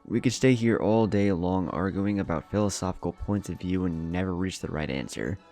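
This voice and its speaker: A man voicing an ancient, calm and wise male sage in a measured, philosophical manner for a story. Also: deep voice, faint music behind.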